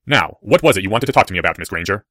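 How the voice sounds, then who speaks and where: A man's voice: on the low side, expressive, fast talker, sharp tone, no echo, clean studio sound. A man voicing an evil professor who taunts with grave, silky, sardonic politeness in an audiobook story. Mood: grave, dry.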